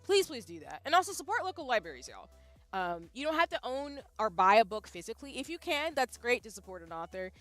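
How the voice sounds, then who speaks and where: A young woman streamer talking casually and animatedly to her chat, jumping between loud bursts and soft asides, often poking fun at herself. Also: on the high side, big pitch swings, fairly quick, no room sound. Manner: self-deprecating, reads out chat. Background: faint background music.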